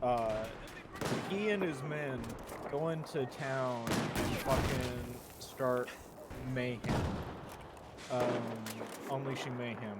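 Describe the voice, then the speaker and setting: Man, slow halting story recap, cursing and filler words, loud game gunfire behind. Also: mid-range voice, heavy background noise. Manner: halting, full of fillers, restarts and swearing. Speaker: storyteller summarizing a manga. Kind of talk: casual rambling story recap. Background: game gunfire and explosions.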